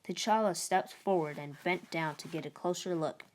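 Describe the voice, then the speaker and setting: A woman narrating a children's book aloud in an expressive read-aloud style. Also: on the low side, normal speed.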